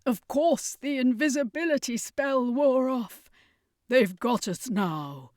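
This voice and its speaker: A woman voicing an earnest male circus master in an adventure story, a heroic leader speaking urgently and gravely. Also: high-pitched, animated, slow, darkish tone, dry recording, clean studio sound, voice pushed up.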